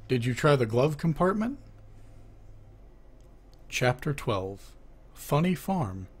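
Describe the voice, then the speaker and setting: A man reads a joke book at an unhurried pace. His voice is husky. His pitch swings widely as he names each speaker and then delivers their line. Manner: lifts his voice for the asking half of knock-knock jokes. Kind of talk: reading jokes aloud.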